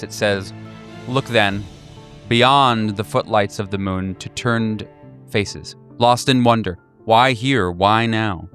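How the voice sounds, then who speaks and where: Low-voiced man narrating horror over music. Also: a bit bright. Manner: ornate, literary phrasing. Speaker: game master and host. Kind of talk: dramatic, improvised horror narration for a tabletop game.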